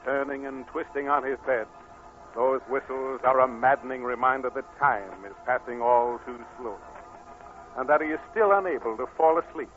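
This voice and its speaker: A man's voice: medium pitch, fairly bright tone. Theatrical old-time radio narrator, a man, muffled. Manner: theatrical, deliberate. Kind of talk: 1940s radio narration, scripted. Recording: dull 1940s radio recording with music.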